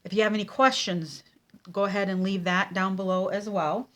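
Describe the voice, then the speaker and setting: A woman with a cold and a nasal, congested voice, teaching a casual card-making tutorial at her craft table, with many ums and pauses. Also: mid-range voice, steady tone, a bit bright.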